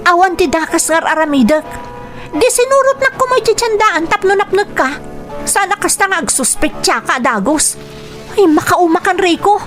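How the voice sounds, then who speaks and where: The wronged young wife in an Ilocano radio soap, protesting at home in a high, emphatic voice while music plays softly underneath. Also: female voice, moderate pace. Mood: indignant. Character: indignant young wife defending herself against jealous husband. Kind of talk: radio drama acting.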